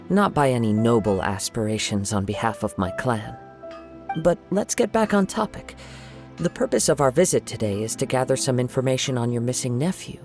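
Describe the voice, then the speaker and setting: An actor playing a calm, modest young wandering samurai in a video game, composed and formal. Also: male voice, medium pitch, lightly acted.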